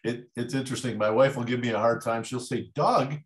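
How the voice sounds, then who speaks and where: A man hosting a casual real estate livestream, coaching agents in an encouraging way and often chiming in with quick agreement. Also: mid-range voice, echoey room. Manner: encouraging, lots of affirming "yep"s. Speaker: livestream host, real estate broker support.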